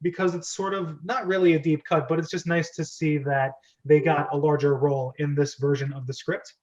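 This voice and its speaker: A man with a slightly high voice leads a casual club discussion. He talks in a chatty, explanatory way. The sound is a little dull and lo-fi, like an online call. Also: level tone, brisk, a little mellow. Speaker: host of a script reading club. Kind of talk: casual group discussion with read-aloud script.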